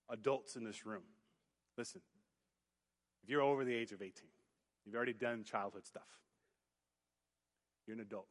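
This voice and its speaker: A youth pastor preaching a sermon in church, booming then dropping to quiet emphasis. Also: male voice. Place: church sanctuary. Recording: long hall reverb. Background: hall reverb.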